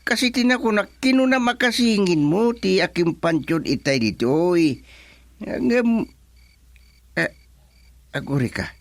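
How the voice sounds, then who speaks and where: A forgetful old Filipino man, played by an actor, grumbles his way through a comedy radio play. He speaks slowly, dragging out his interjections, and the sound is dry and close. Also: sits high, expressive, gravelly, dry recording, full character voice. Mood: puzzled, weary. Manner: drawn-out, full of sighing interjections. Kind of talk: Ilocano comedy radio drama acting.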